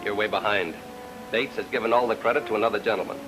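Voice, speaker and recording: A man's voice: on the low side, fairly even intonation, quick pace, natural voice, low-quality recording. An actor playing a dry, sardonic man in an old-time radio mystery, wry and mocking. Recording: old radio recording with music.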